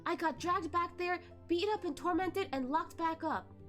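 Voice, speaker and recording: A woman plays a male ghost courtesan full of bitterness, pitched very high and pushed hard with drama. He mocks and accuses in a hateful, storybook-villain manner, over faint music. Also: normal speed, fairly bright tone. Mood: hateful, aggrieved. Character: bitter, vengeful androgynous male ghost, a former brothel courtesan.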